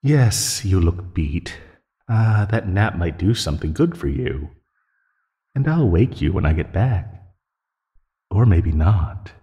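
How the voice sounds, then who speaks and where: Man voicing a very deep, taunting male naga. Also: animated. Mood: playful menace. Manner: slow, taunting, condescending, with soft chuckles and sighs. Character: teasing, menacing male naga predator of the forest. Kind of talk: ASMR roleplay audio drama. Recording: long hollow reverb.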